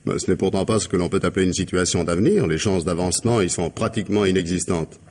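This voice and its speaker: A man's voice: low-pitched, quick pace, a little mellow. A man playing an ironic, insinuating police inspector who questions with needling gallantry in a radio mystery drama. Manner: sardonic, insinuating questioning with polite irony.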